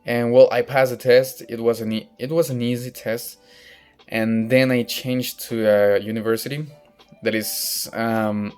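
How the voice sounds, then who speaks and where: A young man with a Mexican Spanish accent talks slowly and hesitantly, stopping often to restart sentences and hunt for the right English word. His low voice is smooth and clear, held in a flat, even tone. Faint music plays behind him. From northern Mexico. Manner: hesitant, searching for words, frequent restarts. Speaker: vlogger practicing English. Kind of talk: casual personal monologue. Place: outdoors in sunlight.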